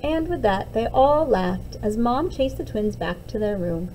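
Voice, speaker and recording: Female storybook reader, high and lively, mellow tone, some background noise. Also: unhurried, poor audio. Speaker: teacher reading a picture book aloud. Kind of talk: expressive storybook read-aloud.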